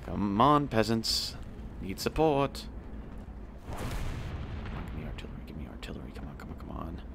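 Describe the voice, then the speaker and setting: A man with a hoarse, failing voice giving playful, excited casual commentary on a game, with mock-military banter. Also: low voice, a little slow. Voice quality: hoarse, strained voice. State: losing his voice. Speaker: gaming video creator. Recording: noisy, game gunfire and engines behind. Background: tank game gunfire and engine noise.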